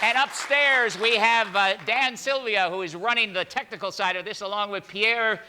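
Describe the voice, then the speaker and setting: Older male MC, notably high voice, informal stage introductions in a noisy live hall. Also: moderate pace. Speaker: master of ceremonies. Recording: live hall recording, crowd and noise. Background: audience crowd noise.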